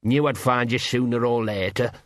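A man's voice: mid-range voice, normal speed, dry recording, clean studio sound, close to an everyday voice. A man voicing a scruffy talking dog in an audiobook, wheedling and nervous, rambling colloquially and repeating himself. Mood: wary bravado.

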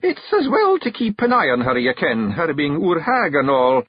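A male narrator voicing a small, fierce, excitable fairy clan chief with a Scottish accent, high, loud and flustered. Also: normal speed, husky, no echo, dull audio.

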